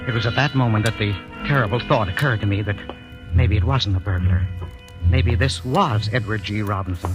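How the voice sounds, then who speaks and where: An actor playing a timid, henpecked husband in a 1940s radio drama, with a hesitant, flustered manner. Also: male voice, fairly low pitch, a little fast, close to an everyday voice. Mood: anxious. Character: timid, henpecked husband, a nervous dreamer telling his tale. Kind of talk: 1940s radio acting, first-person narration and dialogue. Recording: old radio broadcast with music.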